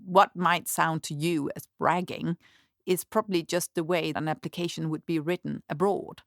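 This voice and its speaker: Female co-host, lowish gravelly voice, thoughtful explainer, dry room. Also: fairly even intonation, moderate pace, no room sound. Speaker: podcast co-host. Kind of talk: casual studio chat.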